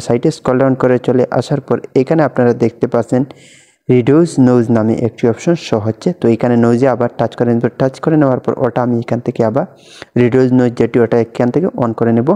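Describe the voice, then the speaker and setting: A young man talking quickly through a phone app tutorial, narrating every tap and repeating each step. His voice is mellow and dark, sitting at an ordinary pitch. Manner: steady step-by-step instructions, much repetition. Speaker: tutorial host. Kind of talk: screen-recorded app tutorial.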